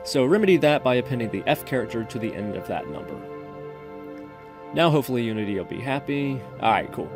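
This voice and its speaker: A man's voice: medium pitch, very animated, fast, over music. A man hosting a casual coding tutorial, talking through each step in an informal, chatty way. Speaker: tutorial host. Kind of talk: casual screen-recorded coding tutorial narration.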